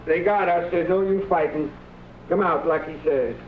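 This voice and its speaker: A man's voice: on the high side, normal speed, natural voice. A man playing a middle-aged homesteader father with a rural American accent, folksy and drawling, in a radio western. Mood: alarmed. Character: folksy, hospitable homesteader father hiding guilt. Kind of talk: 1950s radio western acting. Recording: muffled, noisy old radio recording.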